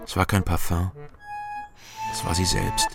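An actor playing a wary middle-aged East German private detective in a radio crime drama, terse and matter-of-fact. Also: male voice, sits low, completely flat delivery, measured pace, gravelly, over loud music. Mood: sober, reflective. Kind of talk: radio crime drama with first-person narration.

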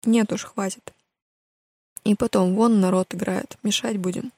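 A woman's voice: mid-range voice, steady tone, measured pace, a little mellow, natural voice. A woman voicing a thoughtful, questioning teenage boy in an audiobook, in colloquial speech. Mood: gentle concern.

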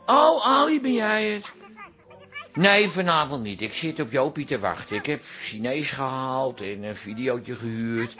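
A man's voice: mid-range voice, big pitch swings, unhurried, pushed and bright. An actor playing a lonely, fussing middle-aged father in a radio comedy-drama, hesitant, stammering and pleading. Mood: hopeful, pleading. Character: lonely, fussing, flustered father of grown daughter.

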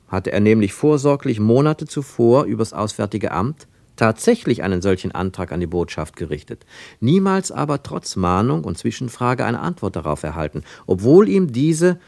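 Casting: wry male storyteller for radio drama, lowish voice, close dry sound. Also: creaky, a little mellow, dry recording. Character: wry, observant male narrator. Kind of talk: literary radio play narration.

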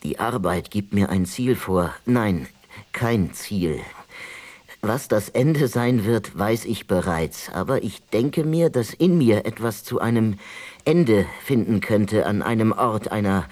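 Slow, weary ailing archaeologist. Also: male voice, on the low side, expressive, darkish tone. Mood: brooding. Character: ailing male archaeologist brooding on death over his translation. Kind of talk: introspective radio play monologue.

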